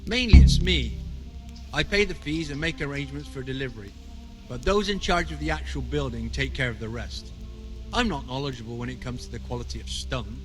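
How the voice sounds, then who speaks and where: A man's voice: medium pitch, a little fast, over music, lightly acted, poor audio. A man playing a courteous but guarded medieval monastery overseer in a video game, speaking formally. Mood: explanatory. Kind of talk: cinematic game dialogue.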